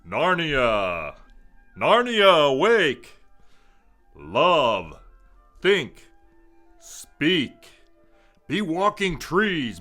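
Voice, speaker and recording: A man playing a majestic, solemn lion ruler in a children's fantasy drama, proclaiming with slow, commanding gravity. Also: medium pitch, sharp tone, heavily acted. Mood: commanding, exalted.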